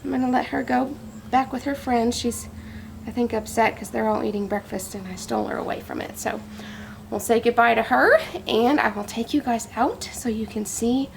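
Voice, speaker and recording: A woman's voice: a little high, moderate pace, vocal fry, slight background noise. A woman speaking to young children in simple, teacherly language with questions, as in a storybook read-aloud or farm show-and-tell.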